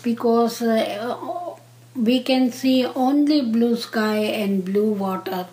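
A grandmother in her seventies from Kenya, answering interview questions in halting, simple phrases with pauses. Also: on the high side, mellow. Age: 70s. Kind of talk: slow casual interview answers.

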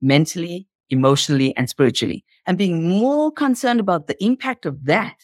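A woman's voice: lowish voice, animated, moderate pace, no echo. Emphatic woman in a dry, close recording. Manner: emphatic, repeats phrases for emphasis. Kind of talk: impassioned motivational explanation in a conversation.

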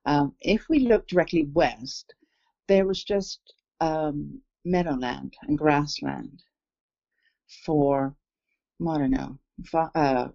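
A woman's voice: low voice, creaky, dark tone, no echo, muffled recording. A woman in her sixties with a mixed southern English and Canadian accent, telling a long story casually with many fillers and self-corrections. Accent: mix of southern English and Canadian. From England, raised in Canada. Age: 60s. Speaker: guest telling an encounter.

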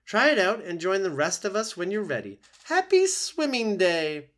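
A man putting on a high, fussy old mother goose. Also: big pitch swings, moderate pace, a bit bright. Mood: encouraging. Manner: high, lilting, motherly scolding. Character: old female goose, bossy and caring.

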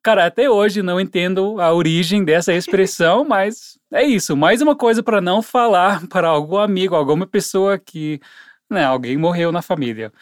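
A man whose first language is English, speaking Portuguese with an American accent in a relaxed bilingual chat as a co-host teacher. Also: high voice, normal speed, no echo, studio quality. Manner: relaxed, laughs easily, switches between Portuguese and English.

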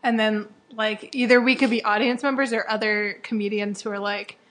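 A woman co-hosting a casual podcast, upbeat and quick to laugh as she pitches ideas. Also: steady tone, a bit bright. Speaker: co-host.

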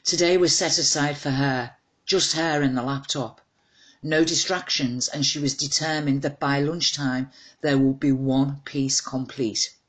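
A woman's voice: rumbling low, fairly even intonation, a little slow, husky. A woman narrating a scripted short story as its author, in a wry, dramatised reading.

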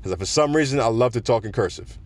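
A deep-voiced man hosting his own podcast, talking in a calm, conversational monologue. He projects his voice so his words carry, with a mid-range pitch for a man and plenty of casual asides. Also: fast talker, a little hiss or hum. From South Florida. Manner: projects his voice, relaxed and conversational, fond of "you know". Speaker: podcast host. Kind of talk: casual solo podcast rant.

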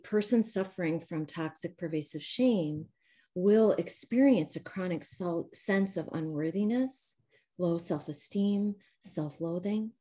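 An American woman with a Midwestern accent tinged with Southern, speaking in a lowish, mellow voice. She explains things calmly and at length, with the odd "um" and "you know", as a guest joining over a video call. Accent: Midwestern mixed with Southern US. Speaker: trauma recovery coach, guest. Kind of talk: explanatory interview talk. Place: home, calling in remotely.

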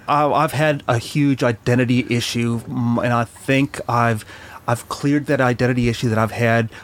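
A middle-aged man from Chile with an American accent, telling his life story as a guest in a casual interview, halting with many fillers and restarts. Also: mid-range voice, steady tone, a little hiss or hum.

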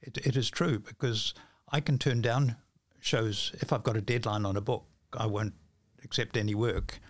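An older male podcast guest speaks in a fairly low voice. He talks conversationally, halting and repeating words as he goes. The recording is dry and close. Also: fairly even intonation, no echo. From New Zealand. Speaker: podcast guest, magician and author. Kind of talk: casual conversation.

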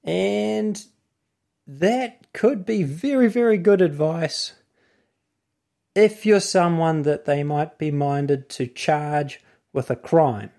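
A man's voice: on the high side, expressive, takes it slowly, vocal fry, dark tone. A man with a New Zealand accent giving a casual explanatory talk as host and legal author, wandering into asides and then finding his thread again.

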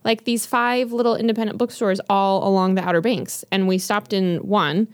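A woman's voice: medium pitch, fairly even intonation, moderate pace, dry recording, studio quality. A woman co-hosting a podcast, chatting casually and rambling with many fillers and restarts while recommending books. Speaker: podcast co-host. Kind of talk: casual chat about books.

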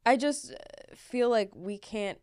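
A woman's voice: on the high side, measured pace, a little mellow, clean tone, dry recording, studio quality. A young adult woman co-hosting and producing a podcast, chatty and casual with lots of "like". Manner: chatty, uses "like" a lot, laughs easily.

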